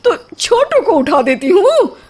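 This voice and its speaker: A woman's voice: very high-pitched, big pitch swings, takes it slowly, darkish tone, full character voice. A woman voicing an anxious, complaining middle-aged mother-in-law with a melodramatic comic manner in a family story. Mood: worried, insistent.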